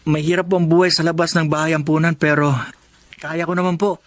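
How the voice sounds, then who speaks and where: A man's voice: on the high side, little pitch movement, brisk, no echo, close to an everyday voice. A man playing a polite, hardworking young orphan with a Filipino accent in a Tagalog radio drama, earnest and deferential. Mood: earnest, warm.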